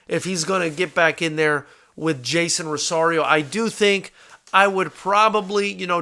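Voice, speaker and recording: An American man with a fairly high, very bright and forceful voice hosts a combat sports show. He talks casually and conversationally to his viewers, addressing them like brothers as he gives his take on fighters. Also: smooth. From South Florida, United States. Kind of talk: casual live fight commentary, answering viewer comments.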